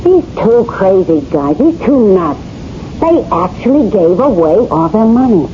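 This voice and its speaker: A woman's voice: mid-range voice, very animated, unhurried. An actor playing a brassy, flirtatious wife fed up with her husband, in slangy, wisecracking 1970s radio drama style, played by a woman. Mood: animated, gossipy. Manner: slangy, wisecracking, ungrammatical delivery. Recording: muffled, hissy old radio broadcast recording.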